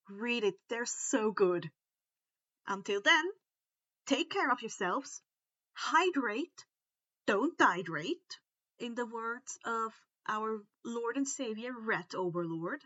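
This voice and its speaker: A woman who is not a native English speaker, reading stories aloud in an expressive, informal way. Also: fairly high pitch, takes it slowly, no room sound, lo-fi sound. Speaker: fan fiction reader, host. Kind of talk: expressive amateur story narration, chatty outro.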